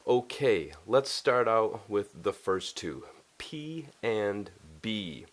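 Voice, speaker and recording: A man teaching English pronunciation in an instructional style, explaining things deliberately and step by step. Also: on the low side, big pitch swings. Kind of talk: instructional lesson to camera.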